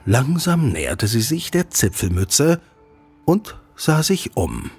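A man narrating a scripted children's audio drama as an expressive storyteller with deliberate phrasing. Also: medium pitch, breathy edge, faint music behind.

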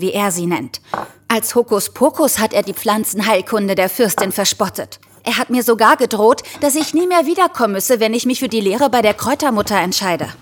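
A woman's voice: medium pitch, lightly acted. A woman playing an earnest young farmer's daughter, torn between duty and longing, in a scripted audio drama.

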